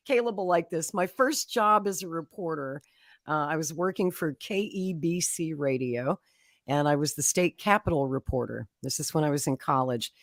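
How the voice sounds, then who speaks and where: A woman's voice: sits low, normal speed, dry recording, studio quality. A woman, a guest telling her political life story in a chatty way, laughing often and acting out quotes. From Oklahoma, USA. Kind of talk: conversational storytelling.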